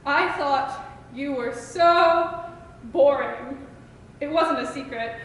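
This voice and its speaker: A teenage woman reciting slam poetry with dramatic, deliberate phrasing in an echoing hall. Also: high voice, fairly bright tone. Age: teens. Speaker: student poet. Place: school open mic performance in a reverberant room. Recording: echoey hall with faint noise.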